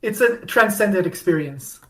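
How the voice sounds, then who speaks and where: Rambling, excitable woman podcaster. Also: medium pitch. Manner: rambling, many restarts, quick bursts of excitement. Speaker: podcast guest. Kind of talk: casual podcast chat.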